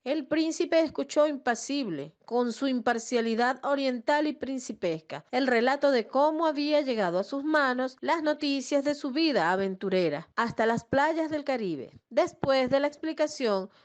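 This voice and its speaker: A woman giving scripted documentary narration, reading an old press report in a measured, formal storytelling way. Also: high-pitched, animated.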